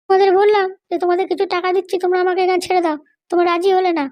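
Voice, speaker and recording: A woman's voice: very high voice, little pitch movement, very fast, a little mellow. A woman voicing a haughty, threatening female political boss in a comedy cartoon, bossy and indignant with a childlike voice. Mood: bargaining, complaining. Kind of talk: Bengali comedy cartoon dialogue.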